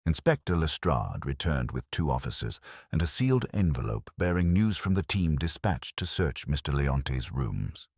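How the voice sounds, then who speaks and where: A man's voice: extremely low pitch, big pitch swings, normal speed, few pauses, husky, dry recording, studio quality. A man reading a scripted dramatic story as an audiobook narrator.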